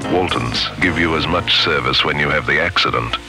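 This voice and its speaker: A man's voice: very deep voice, normal speed, vocal fry. A man reading a scripted 1970s radio commercial as announcer. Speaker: advertisement announcer. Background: music.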